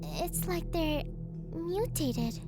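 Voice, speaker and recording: Woman voicing a very high-pitched caring young girl. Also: big pitch swings, very slow, heavily acted. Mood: uneasy awe. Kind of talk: amateur audiobook voice acting. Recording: loud music bed.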